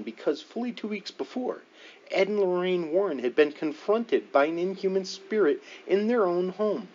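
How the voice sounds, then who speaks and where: A man's voice: mid-range voice, darkish tone, muffled recording. A man reading a paranormal book aloud as scripted narration, with a dramatic storytelling delivery. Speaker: audiobook reader.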